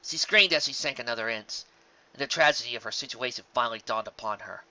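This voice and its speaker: A narrator reading a scripted story aloud with an expressive, dramatic storytelling delivery. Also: medium pitch, fast talker, no room sound, muffled recording. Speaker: audiobook narrator.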